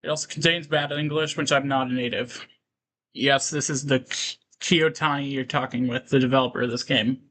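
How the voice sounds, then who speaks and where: A man doing casual livestream commentary on a game, reading on-screen text aloud and halting to break into asides. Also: medium pitch, fairly even intonation, fairly bright tone, low-quality recording.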